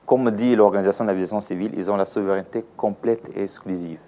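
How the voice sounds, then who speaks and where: A man's voice: on the low side, steady tone, fast talker, dark tone. A man with a Congolese French accent giving didactic, explanatory interview answers as an aviation expert, using examples and rhetorical questions. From Democratic Republic of the Congo.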